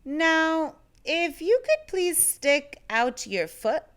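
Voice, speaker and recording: A woman's voice: high-pitched, very animated, extremely slow, fairly bright tone, voice pushed up, full character voice. A woman voicing a friendly, hungry male monster who growls and teases with playful menace. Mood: smug, hungry. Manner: growling, teasing.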